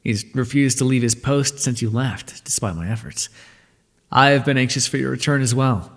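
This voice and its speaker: A gentle male god of the underworld from an audio roleplay, voiced by a man speaks at a medium pitch. He sounds concerned and lightly teasing. His voice trails off in a long hall reverb. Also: moderate pace, lightly acted. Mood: fond, concerned.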